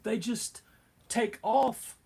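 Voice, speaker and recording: A man giving casual fan commentary as a panelist, wry and a little halting, with comic asides. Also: very high voice, steady tone, gravelly, warm tone, breathy edge. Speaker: panelist at a fan convention discussion.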